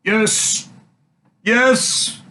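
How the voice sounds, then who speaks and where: A man voicing a genial, sentimental fatherly emperor in a fairy tale, with measured, affected speech. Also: pushed and bright, rough recording. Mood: tearful nostalgia.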